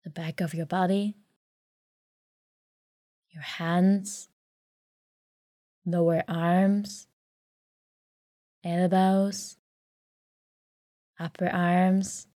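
Female meditation guide: unhurried, soothing delivery, lowish voice, gentle pauses for a bedtime relaxation script. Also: flat delivery, no echo, clean studio sound. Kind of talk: guided sleep meditation, soft scripted narration.

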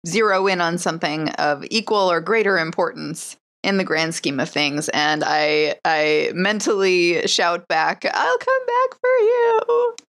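A woman playing a tabletop game, improvising out loud what her character thinks and does. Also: fairly low pitch, animated, measured pace, few pauses.

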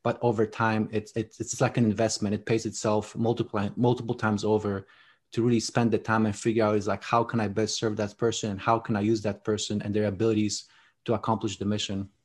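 A man's voice: on the low side, flat delivery, a little fast. A man whose first language is Polish, speaking English as an interview guest, earnest and full of restarts. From Poland. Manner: earnest, many restarts and fillers. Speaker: guest author. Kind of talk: conversational interview.

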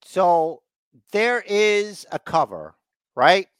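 A man's voice: high voice, big pitch swings, extremely slow, a bit bright, clean tone, no echo, clean studio sound. A man hosting a podcast, giving a casual, rambling recap with mock exasperation and repeated phrases for emphasis. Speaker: podcast host recapping wrestling.

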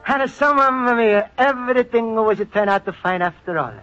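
A man's voice: very high-pitched, normal speed, pushed and bright, soft background music. An actor playing a naive, earnest Italian immigrant man with an Italian accent and broken English, in a 1950s radio comedy. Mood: fond. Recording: dull old radio broadcast.

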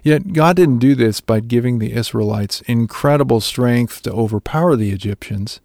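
Male devotional narrator, gravelly voice, very dry close sound, scripted delivery. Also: mid-range voice, moderate pace, darkish tone, no echo. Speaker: devotional speaker.

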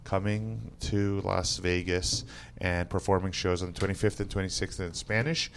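A male comedian on a podcast, chatting casually in a lively, emphatic way and laughing often. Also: low voice, moderate pace, gravelly, a little hiss or hum.

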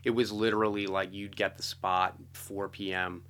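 A man talking informally as an interview guest, telling stories in an animated way and often adding "you know" and "like". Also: deep voice, normal speed. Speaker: guest, composer and orchestrator. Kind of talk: conversational interview storytelling.

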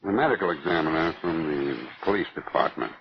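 A calm, solicitous doctor in a vintage radio thriller, speaking in a low, deep voice. The recording is muffled, with faint noise behind him. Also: male voice, fairly quick. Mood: grave, probing. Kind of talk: 1940s radio acting.

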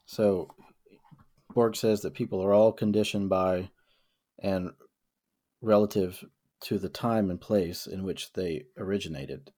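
A man's voice: deep voice, unhurried, long pauses, warm tone. A young man from the American South co-hosting a podcast, reading book quotes aloud and reflecting on them with many fillers and restarts. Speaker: podcast co-host. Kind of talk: casual discussion of a book.

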